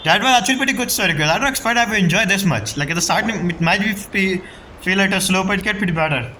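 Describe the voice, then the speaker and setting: A man streaming his reactions to a game story, excitable, exclaiming and swearing. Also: high-pitched, fast, rough recording. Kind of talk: live gameplay reaction. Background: game music.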